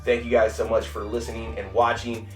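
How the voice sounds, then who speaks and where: A man's voice: mid-range voice, flat delivery, brisk, echoey room, recorded from a distance, soft background music. A man hosting a podcast in upbeat, casual chat, often calling his guest "man". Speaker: podcast host.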